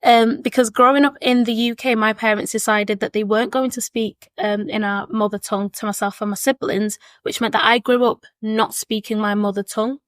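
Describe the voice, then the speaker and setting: A woman's voice: a little high, fairly even intonation, brisk, hardly any pauses, no echo. A woman, giving earnest, reflective answers as a podcast guest and often repeating words while she thinks. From born in Zimbabwe, raised in Leeds, England. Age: 20s. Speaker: podcast guest, education consultant. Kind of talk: thoughtful conversational interview answers. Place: seated at a table with the hosts.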